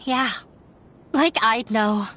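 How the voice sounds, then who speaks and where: A woman's voice: on the high side, bright, forceful tone, slight background noise, heavily acted. A woman voicing a dejected, self-blaming young scholar's daughter in a video game, hesitant and apologetic. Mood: bitter, sad. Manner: hesitant, apologetic, slow and deliberate. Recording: music behind the voice. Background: game music.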